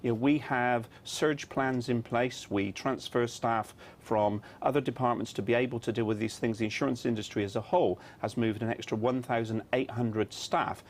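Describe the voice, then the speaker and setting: A British man answering as an executive in a news interview, measured and firmly reassuring. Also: mid-range voice, fairly even intonation, slight background noise. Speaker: insurance executive, interview guest.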